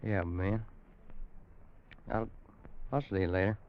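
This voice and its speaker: Low-voiced folksy old cowboy storyteller. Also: male voice, steady tone. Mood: gentle, hesitant. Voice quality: folksy Western drawl. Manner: slow, homespun storytelling with dramatic pauses. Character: older plainspoken cowboy narrator, fond loyal companion. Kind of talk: 1950s radio western narration. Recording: muffled old-time radio recording.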